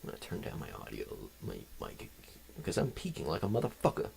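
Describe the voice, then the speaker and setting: Gravelly young man rambling on a dev stream. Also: deep voice, wildly swooping pitch, a little fast, darkish tone. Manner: rambling, jumps between tangents, swears casually. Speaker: game developer streaming. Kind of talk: casual live-stream chat while coding. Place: home desk, indoors.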